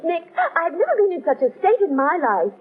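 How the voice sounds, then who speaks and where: A woman's voice: very high voice, huge pitch swings, a little fast, heavily acted. A gushing, theatrical socialite mother. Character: dramatic, money-hungry socialite mother, middle-aged. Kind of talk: 1940s radio acting. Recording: tinny old radio recording.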